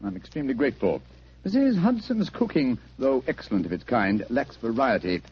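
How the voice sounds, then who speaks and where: A wry, courtly English detective, a man whose husky voice moves through wide swings of pitch. He phrases things precisely, in old radio style, on a dull vintage recording. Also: big pitch swings, a little fast. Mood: urbane amusement. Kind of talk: 1940s radio acting.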